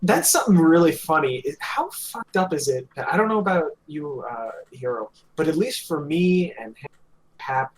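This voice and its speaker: A man's voice: on the high side, sing-song, normal speed, rough recording. A man co-hosting a podcast in casual group chat, joking and teasing. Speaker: podcast co-host.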